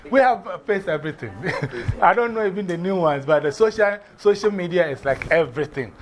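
A man from Ghana with a Ghanaian accent, teaching a class in a lively way, often repeating and spelling out words. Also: high voice, brisk.

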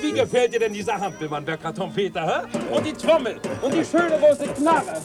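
High-voiced, exuberant eccentric bachelor artist. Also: male voice, big pitch swings, brisk, loud music behind the voice. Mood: jovial, playful. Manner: exuberant, declamatory, chuckling. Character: jovial, eccentric bachelor artist, boisterous and philosophical. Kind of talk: theatrical radio play acting.

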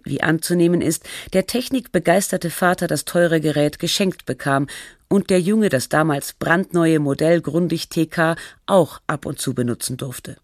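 A performer playing a sober radio documentary narrator, reading a scripted feature in a measured, factual, reflective way. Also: mid-range voice, no room sound, studio quality, lightly acted. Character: sober documentary narrator telling an artist's life.

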